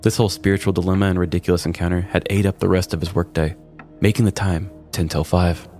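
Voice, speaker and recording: A man's voice: low-pitched, little pitch movement, fast, a little mellow, soft background music. A man, a podcast host, narrating a scripted comic story in a wry, dramatic storytelling manner.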